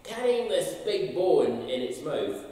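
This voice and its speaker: An older man, a minister, gives an expressive, slowly told all-age talk from the pulpit of a large church sanctuary. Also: on the high side. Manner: storytelling, slow and expressive. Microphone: far camera, pulpit mic. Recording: echoey church hall from a distance.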